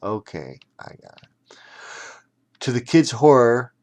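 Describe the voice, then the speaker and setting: A man's voice: mid-range voice, big pitch swings, takes it slowly, darkish tone. A man narrating a children's audiobook theatrically, breaking off for chatty explanatory asides.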